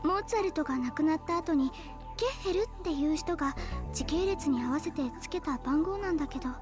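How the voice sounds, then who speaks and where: A woman's voice: high voice, animated, racing. An actress playing a sweet, bashful young woman who speaks politely in a visual novel. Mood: earnest, explaining. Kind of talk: Japanese visual novel voice acting. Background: game music.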